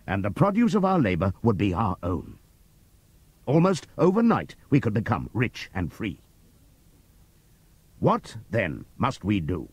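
A man doing a husky old boar orator. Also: low-pitched, no room sound, heavily acted. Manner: impassioned oratory, sweeping from loud appeals to hushed asides. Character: old prize boar, wise, rousing orator. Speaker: audiobook reader. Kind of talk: dramatic audiobook reading.

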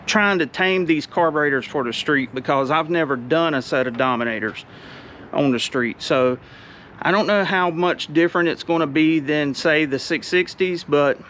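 A man, chatting excitedly in a casual vlog about his race truck, outdoors and then driving in the cab. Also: a little high. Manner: excited, chatty, drawling shop talk. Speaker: car builder vlogger. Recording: loud engine noise throughout.

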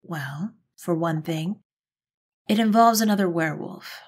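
A woman acts out a persistent witch for an audio drama, with a lowish, raspy voice. She is earnest and persuasive, making her case in measured phrases. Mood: businesslike. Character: earnest, persistent female witch, pleading go-between. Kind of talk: audio roleplay drama acting.

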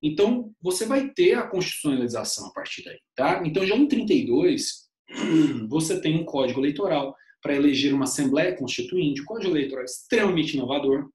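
Man, 30s, online history teacher; casual, chatty delivery; webcam audio with room echo. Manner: chatty, uses "né?" as a constant tag, animated pitch swings. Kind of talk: online lecture over slides.

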